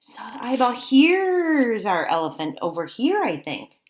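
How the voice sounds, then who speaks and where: Slow-paced woman reading to young children. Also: on the high side, very animated. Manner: childlike-simple, questioning, exclaiming with gasps and asides. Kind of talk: picture-book read-aloud with questions and commentary.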